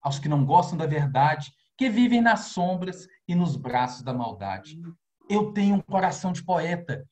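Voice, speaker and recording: A man from Minas Gerais with a Brazilian accent, reading his prose and poetry aloud with expressive rises on key lines, over a video call. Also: normal speed, slight background noise. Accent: Brazilian Portuguese. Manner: expressive recitation, rising pitch on key lines. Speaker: poet and novelist.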